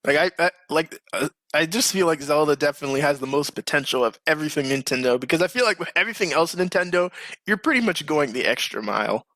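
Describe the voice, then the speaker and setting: A man's voice: on the high side, lively intonation, quick pace, bright, forceful tone, no room sound. A man hosting a casual podcast chat, talking informally and with slang. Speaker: podcast host.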